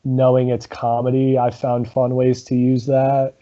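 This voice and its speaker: A man, a guest in a casual interview, talking about his comedy rock band with a low voice, lots of ums and laughs. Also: completely flat delivery, dark tone, dull audio. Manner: halting, many ums, laughs often.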